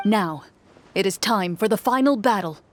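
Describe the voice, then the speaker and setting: A woman playing a composed, solemn champion in a fantasy video game, with a measured delivery. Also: medium pitch, animated, a little hiss or hum, natural voice. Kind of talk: fantasy game narration and acting.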